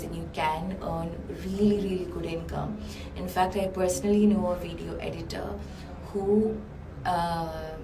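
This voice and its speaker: A tired, hoarse woman hosts a live session as a business coach, chatty with many ums and uhs, speaking from a small cold cabin. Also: lowish voice. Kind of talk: live-streamed talk. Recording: roomy, far from mic, noisy.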